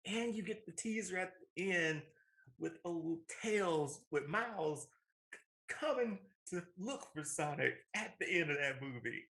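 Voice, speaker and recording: A man's voice: on the high side, fairly bright tone. A man co-hosting a casual, opinionated podcast, telling stories in a colloquial, emphatic way. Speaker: podcast co-host. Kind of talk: casual opinionated chat about films.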